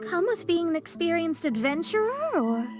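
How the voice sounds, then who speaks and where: Very high, flustered young astrologer. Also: female voice, sing-song, normal speed, music in the background. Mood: flustered, curious. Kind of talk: video game character acting.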